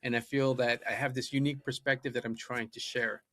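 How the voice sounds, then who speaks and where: A man's voice: mid-range voice, level tone, a little fast, fairly bright tone, no echo. A man whose first language is Japanese, a podcast guest telling his story in an earnest, eager way. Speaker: guest, data scientist and musician. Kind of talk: podcast interview.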